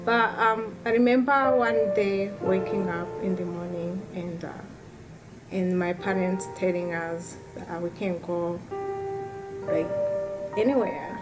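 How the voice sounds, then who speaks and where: Young woman from rwanda, soft halting talk w lots of restarts, loud music bed under her. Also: fairly low pitch, fairly even intonation, low-quality recording. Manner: soft-spoken, halting, with many restarts and fillers. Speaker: interviewee. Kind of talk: personal testimony interview.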